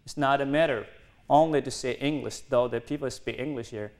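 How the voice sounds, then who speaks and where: A man in his twenties from South Sudan, a native Dinka speaker, giving an earnest university talk in halting, non-native English with restarts. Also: mid-range voice. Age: 20s. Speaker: guest speaker. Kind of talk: university lecture.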